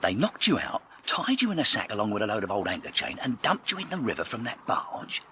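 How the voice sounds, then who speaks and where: Very animated sidekick. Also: male voice, lowish voice, brisk, a little hiss or hum, exaggerated voice. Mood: curious, amazed. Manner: chirpy, deferential, plain-spoken. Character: loyal, cheeky working-class male sidekick and driver. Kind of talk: vintage pulp detective radio drama acting.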